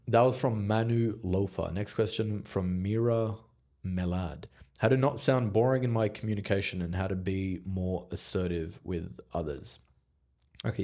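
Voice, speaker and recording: A man with a fairly low voice hosts his own advice podcast as a communication coach. He talks straight to his listeners in a motivational way. He speeds up and slows down on purpose and lets his volume swell and drop to drive each point home. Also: warm tone. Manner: emphatic, varies volume and pace for effect; direct, motivational.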